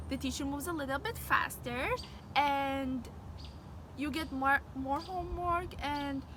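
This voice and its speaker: A high school senior girl whose first language is Arabic, earnestly explaining high school classes in a casual vlog recorded outdoors. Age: late teens. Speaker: vlogger. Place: outdoors on a windy day. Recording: wind and traffic noise outdoors. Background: wind and passing vehicles.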